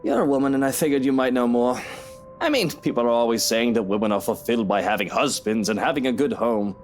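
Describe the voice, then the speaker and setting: A male actor plays a cheeky, moody husband in a visual novel. His pitch swings widely as he speaks in teasing, slangy, informal British-style phrases. Music plays behind him. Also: animated, fast talker, close to an everyday voice. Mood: musing, earnest. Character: cheeky, moody married man, musing about his wife. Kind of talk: visual novel game voice acting.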